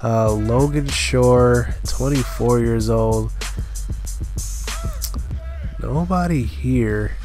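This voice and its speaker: A man with a stuffy voice from a cold, giving relaxed gameplay commentary and thinking aloud with frequent fillers. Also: mid-range voice, drawn-out words, pauses often, music in the background. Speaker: gaming channel host.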